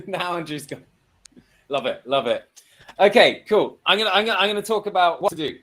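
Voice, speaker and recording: Casual male host, slightly high voice. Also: animated, fairly quick, fairly bright tone. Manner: encouraging, informal. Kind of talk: casual live group discussion show.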